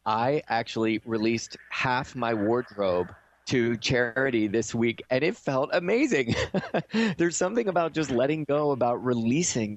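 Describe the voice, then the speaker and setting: A man hosting a radio show in a conversational, enthusiastic and reflective manner. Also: medium pitch.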